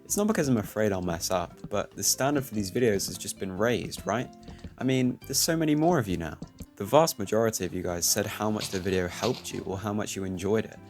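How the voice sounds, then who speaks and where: A teenage man speaking calmly and reflectively, commenting over his gameplay. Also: fairly low pitch, a little fast. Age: teens. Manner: soft-spoken, reflective. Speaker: YouTuber. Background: faint music.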